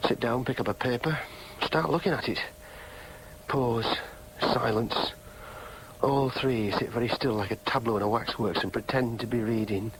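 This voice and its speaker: An actor playing a frustrated, defiant young working-class son with a Northern English accent, argumentative and self-dramatising, in a radio drama. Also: male voice, mid-range voice, moderate pace, a little hiss or hum, close to an everyday voice, rough recording. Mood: dry, resigned. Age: young adult. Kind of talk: British radio drama acting with interior monologue.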